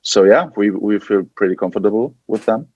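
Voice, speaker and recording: A man's voice: lowish voice, brisk, a little mellow, no echo. A man from West Germany with a German accent, chatting casually as an interview guest and often repeating and restarting his words. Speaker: podcast guest, band guitarist.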